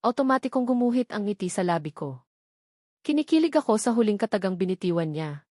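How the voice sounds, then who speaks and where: A woman's voice: medium pitch, sing-song, a little fast, darkish tone, no room sound, studio quality. A woman narrating a first-person romance novel as a scripted audiobook.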